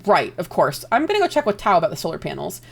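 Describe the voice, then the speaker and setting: A woman voicing an awkward, flustered male official who talks in a hurried, apologetic way. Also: fairly low pitch, sing-song, creaky, husky, heavily acted.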